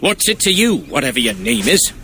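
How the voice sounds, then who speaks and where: A man's voice: medium pitch, very animated, a little fast, pushed and bright, slight background noise. A man voicing a cheeky, plain-spoken dwarf in a video game, chatty and wry with colloquial cursing. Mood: bitter, wary. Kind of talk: cinematic video game acting.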